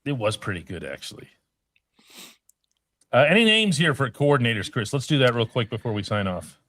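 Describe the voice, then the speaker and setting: A man's voice: mid-range voice, very animated, a little fast, a bit bright, no room sound. A man hosting a live sports podcast, reading viewer comments in a firm, emphatic way. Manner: firm, emphatic, repeats himself for stress.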